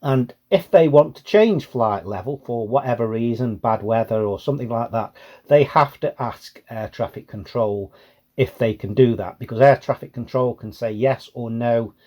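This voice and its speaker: A man's voice: mid-range voice, moderate pace, a little mellow. A British man giving a casual, plain-spoken explanation as a hobbyist presenter.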